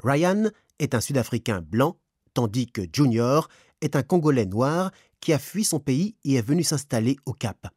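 Husky man presenting, lively intonation. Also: mid-range voice, moderate pace, no echo, studio quality. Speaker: radio documentary presenter. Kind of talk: scripted narration.

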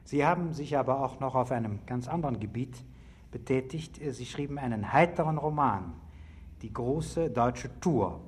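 A man's voice: mid-range voice, lively intonation, normal speed, husky. A man putting formal, polite questions to a guest as an interviewer. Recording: noticeable background noise, old interview recording.

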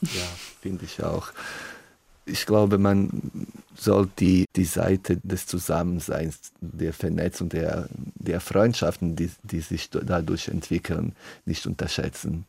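A man's voice: deep voice, steady tone, slow pace, creaky, a little mellow, slightly rough, no room sound. A man from Bosnia and Herzegovina, the treasurer of a teachers' association, answering interview questions hesitantly with many fillers and restarts.

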